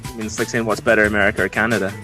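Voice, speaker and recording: A young Irish man with a lowish voice talks in a loose, jokey way and keeps breaking into laughs. Faint music sits under his voice. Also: flat delivery. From Ireland. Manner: laughs often, quick jokey replies. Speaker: guest. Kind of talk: casual chat.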